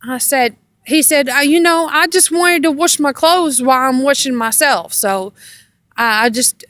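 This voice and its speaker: Lady w a highish voice just rambling thru a story real slow, halting, dry close sound. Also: fairly bright tone, no echo. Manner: halting, meandering, repeats herself. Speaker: storyteller on own video channel. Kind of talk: casual rambling personal storytelling.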